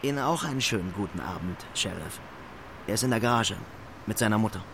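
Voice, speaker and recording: A man voicing a stiffly polite, unflappable state trooper in an audiobook, formal, deadpan and subdued. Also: fairly low pitch, quick pace, noisy background.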